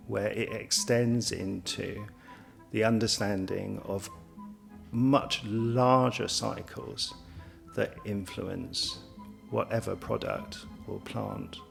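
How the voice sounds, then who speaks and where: A man on a college's staff speaking earnestly and deliberately in a promotional interview. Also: lowish voice, vocal fry. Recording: music behind the voice. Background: background music.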